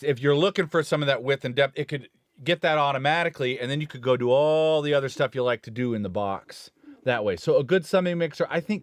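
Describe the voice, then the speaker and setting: A man's voice: mid-range voice, dry recording. A man casually chatting about studio gear on a live stream, rambling and self-correcting as he talks. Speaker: studio engineer co-host.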